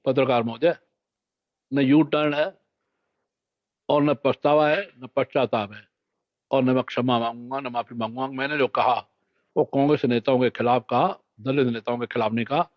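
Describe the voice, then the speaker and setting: A man's voice: medium pitch, fairly even intonation, moderate pace, long pauses, no echo. Emphatic man, dull dry recording. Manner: emphatic, repetitive, rhetorical. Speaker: politician. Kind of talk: defiant statement to the press. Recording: dull, dry, lo-fi news clip.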